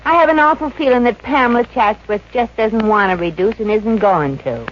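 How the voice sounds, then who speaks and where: A woman's voice: a little high, fairly quick, natural voice. An actress playing a good-natured, wisecracking working woman in a 1950s radio comedy, with a wry, breezy manner. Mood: wry, sheepish. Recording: muffled, noisy old radio recording.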